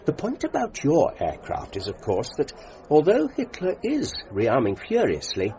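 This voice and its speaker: A genteel British ambassador in a radio drama. His upper-class English voice swings widely in pitch, courteous, hesitant and wry, on a slightly dull recording. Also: huge pitch swings, a little slow, warm tone, heavily acted. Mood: grave, frank. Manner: polite, hesitant, understated.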